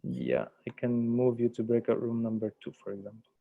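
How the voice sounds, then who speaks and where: A man's voice: mid-range voice, big pitch swings, a little fast, gravelly. A man chatting casually as he coordinates an online session, in a hesitant, tentative way that checks with others. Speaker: workshop host and online meeting organiser. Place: online video call. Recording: dull, muffled video call audio.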